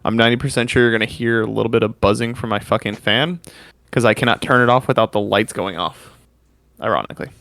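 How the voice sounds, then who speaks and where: A man with a naturally loud voice, talking casually as a tabletop game player. He speaks into a desk microphone on a video call, and the sound is close and dry. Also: lowish voice, fast, creaky, dry recording. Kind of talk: casual chat. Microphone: USB desk mic on a video call.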